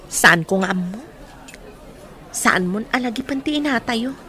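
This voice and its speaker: A woman's voice: fairly high pitch, animated, a little slow, pushed and bright. Woman playing a guarded, protective eldest sister. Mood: burdened. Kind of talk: radio drama acting.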